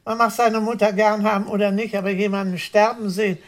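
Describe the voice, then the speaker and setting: Actress as a housekeeper, polite, deferential, mid-range voice. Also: female voice, medium pitch, steady tone, a little fast, lightly acted. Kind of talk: radio play acting.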